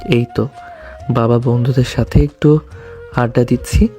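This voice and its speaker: Man acting a tender, mellow young groom. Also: mid-range voice, steady tone, a little slow, soft background music, lightly acted. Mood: relaxed. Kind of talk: audio love story acting.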